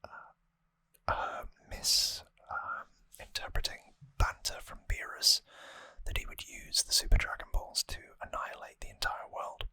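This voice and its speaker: An ASMR host speaks entirely in a breathy whisper, right up close to the microphone. The sound is dry and crisp, with bright, sibilant detail. The delivery is unhurried and informal, with small wry asides. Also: no echo, low-quality recording. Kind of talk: whispered ASMR, rambling trivia recap.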